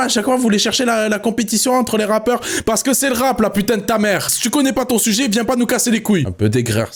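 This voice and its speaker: Brief: male live streamer, mid-range voice, crude and chatty, talks straight to chat, flips between low thoughtful musing and loud excited ranting. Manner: swears a lot, addresses chat, jumps from musing to excited ranting; laughs often. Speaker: streamer reviewing an album live. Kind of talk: live reaction stream, casual chat to viewers.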